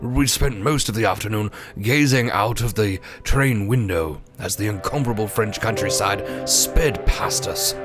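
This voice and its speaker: An actor playing a genial, easily flustered British doctor who narrates the tale. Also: male voice, fairly low pitch, a little slow, hardly any pauses, over loud music, natural voice. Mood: storytelling. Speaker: Dr. Watson. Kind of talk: old-time radio drama narration.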